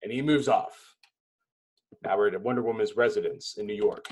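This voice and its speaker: A man reading stage directions as narrator at a table read, in dramatic, clipped phrases with pauses. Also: fairly low pitch, a little mellow, slight background noise.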